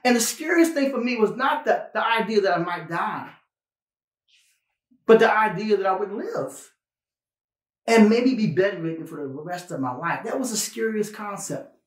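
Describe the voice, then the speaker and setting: A man in his fifties giving a personal, conversational sermon as a pastor, earnest and emphatic, with deliberate pauses and repetition. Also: sits high, lively intonation, reverberant. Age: 50s. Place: small indoor studio, plain backdrop.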